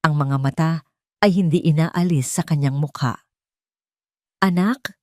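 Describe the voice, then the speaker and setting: A woman reading a scripted dramatic story as narrator, in a measured, suspenseful way. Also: deep voice, steady tone, dry recording, clean studio sound. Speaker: narrator of audio drama stories.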